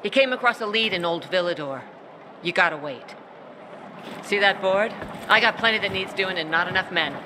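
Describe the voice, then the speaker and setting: A woman's voice: medium pitch, expressive, noisy background, natural voice. An actress playing a blunt female military officer who briefs a newcomer in a game cutscene. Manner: blunt, brisk. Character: tough female soldier, blunt and proud. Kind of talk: video game cutscene acting.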